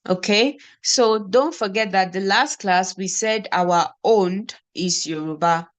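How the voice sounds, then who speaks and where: A woman teacher from Nigeria with a Nigerian accent, explaining beginner German phrases and repeating example words slowly one at a time. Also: medium pitch. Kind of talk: slow classroom explanation.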